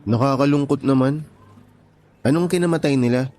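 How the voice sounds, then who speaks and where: A man playing an earnest, friendly young coworker in gentle, conversational radio drama dialogue. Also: mid-range voice, normal speed, natural voice. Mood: concerned.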